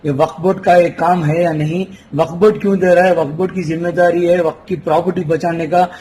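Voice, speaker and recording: A man's voice: a little high, little pitch movement, quick pace, darkish tone. A man conducting a news interview, pressing his questions persistently and cutting in. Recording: noisy interview recording with ambient sound.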